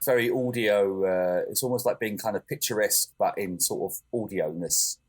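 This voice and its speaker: A man's voice: fairly low pitch, normal speed. A man co-hosting a podcast, talking casually and hesitantly, with lots of fillers and self-corrections. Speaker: podcast co-host. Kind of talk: casual chat.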